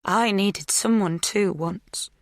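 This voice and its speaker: A woman's voice: medium pitch, slow pace, no room sound, clean studio sound, voice pushed up. A woman acting an unhinged, plaintive female captor. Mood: disdainful, bitter. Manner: plaintive, breathy whispers, disdainful.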